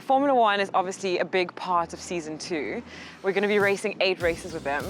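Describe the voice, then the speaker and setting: Woman presenter, interviewer, brisk friendly questions, lower voice, music playing beneath. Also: animated. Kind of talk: upbeat show interview.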